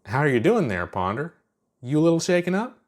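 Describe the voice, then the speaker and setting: Audio story role: young monster daughter played by a man, raised pitch, folksy, caring, playful teasing. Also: sing-song, brisk. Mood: teasing concern. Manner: folksy, teasing, says y'all.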